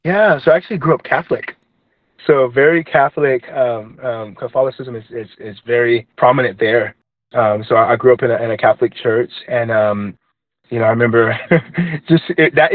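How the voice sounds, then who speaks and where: A man's voice: medium pitch, no echo, lo-fi sound. Trinidad-raised guy, light accent, talks it through with lots of ums, no room sound. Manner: earnest, reflective, with many fillers and restarts. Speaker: guest. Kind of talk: interview, personal story.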